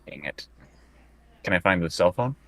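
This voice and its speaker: A man with a low, forceful voice plays a tabletop role-playing game. He talks casually and haltingly, repeating himself as he puts his moves to the game master, in a close, dry sound. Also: lively intonation, dry recording. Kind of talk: casual improvised game play.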